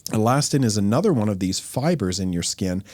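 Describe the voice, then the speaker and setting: A man hosting a podcast as a dermatologist, explaining things in a relaxed, conversational way. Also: on the low side, fairly quick, no room sound. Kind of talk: casual podcast chat.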